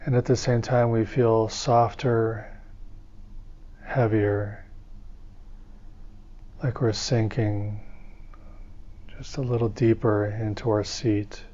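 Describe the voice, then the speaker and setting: A man leading a guided meditation as a teacher, speaking in calm, soothing, measured phrases with pauses between them. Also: fairly low pitch, dull audio.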